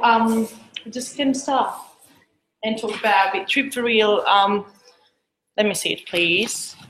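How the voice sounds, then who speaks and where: A woman gives an informal presentation to a small meeting, loose and conversational, often circling back to restart a phrase. Her voice carries the echo of the room. Faint noise hums behind her. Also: fairly high pitch. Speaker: presenter of a tourism startup.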